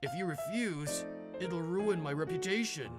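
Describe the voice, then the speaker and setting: A woman's voice: fairly low pitch, measured pace, over loud music, close to an everyday voice. A woman voicing a genial, pleading old grandfather devoted to his grandson, in a manga dub. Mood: coaxing.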